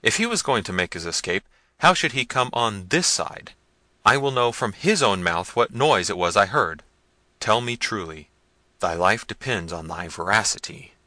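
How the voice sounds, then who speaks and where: Tyrant prince, older, commanding and harsh, read by a man, bright forceful tone, dry sound. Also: low-pitched, animated, normal speed, no room sound, exaggerated voice. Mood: wrathful. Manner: loud, harsh, threatening.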